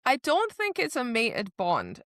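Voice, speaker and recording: A woman's voice: fairly high pitch, wildly swooping pitch, measured pace, a bit bright, no room sound, clean studio sound. A woman co-hosting a podcast, recapping and explaining a book in a casual, conversational way. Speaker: podcast co-host.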